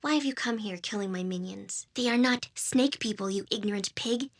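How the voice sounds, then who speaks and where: A woman's voice: mid-range voice, normal speed, lightly acted. An actress playing a cold, haughty female demon villain in a video game, speaking with articulate, imperious disdain. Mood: cold, disdainful. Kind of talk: dramatic video game voice acting.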